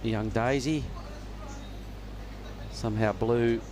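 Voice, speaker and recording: A man giving relaxed, knowledgeable live surf contest commentary from a judging tower. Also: mid-range voice, slow. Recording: noisy outdoor ambience with traffic.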